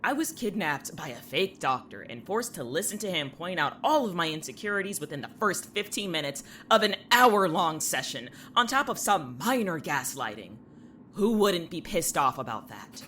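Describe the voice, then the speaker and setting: A woman's voice: on the low side, very animated, normal speed, fairly bright tone, a little hiss or hum. A woman playing a sarcastic, defensive, exasperated young patient in an audio drama, blunt and snarky in delivery. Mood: indignant, sarcastic.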